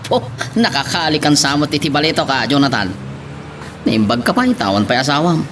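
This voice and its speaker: A man's voice: a little high, big pitch swings, moderate pace, noisy background. A man playing a chatty, struggling family man in an Ilocano audio drama. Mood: hearty, surprised.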